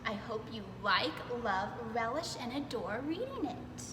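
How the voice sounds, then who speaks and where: A woman reading aloud to young children in a playful, expressive way, dwelling on words for emphasis. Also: on the high side, measured pace. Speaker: preschool storytime reader. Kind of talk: animated children's read-aloud. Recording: echoey reverberant room with clear background noise.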